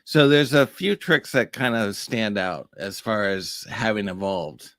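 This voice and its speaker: A man's voice: on the low side, animated, unhurried, fairly bright tone, no echo, clean studio sound. A middle-aged man co-hosting a casual chat, laid-back and rambling. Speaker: magician and co-host. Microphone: studio mic.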